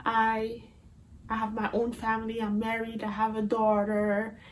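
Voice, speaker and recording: Slow-talking Haitian-accented young woman. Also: fairly high pitch, slight background noise. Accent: Haitian Creole. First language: Haitian Creole. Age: 20s. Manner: chatty, playful and animated. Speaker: vlogger. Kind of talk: casual vlog to camera. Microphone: phone camera.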